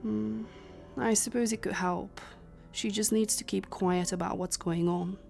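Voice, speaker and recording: A woman playing a composed, authoritative leader of a secret time-travel organization, speaking formally and with measured command. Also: mid-range voice, steady tone, creaky, darkish tone, faint music behind. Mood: stern, considering. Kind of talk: cinematic audio roleplay acting.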